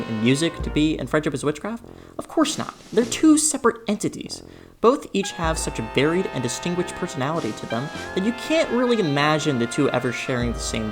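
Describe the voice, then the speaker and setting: A woman's voice: low voice, poor audio. A woman narrating a scripted video essay as host, with a theatrical, sarcastic delivery and exaggerated emphasis. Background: background music.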